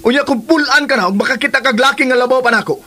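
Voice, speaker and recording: A man's voice: extremely high pitch, racing, pushed and bright, heavily acted. A man playing a torn husband who pleads and resists a demanding woman, in radio drama style. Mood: reproachful. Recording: music under the voice.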